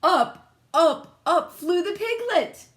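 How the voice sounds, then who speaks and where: A woman teacher reading a picture book aloud to children, expressive and often putting questions to her listeners. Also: extremely high pitch, slow pace.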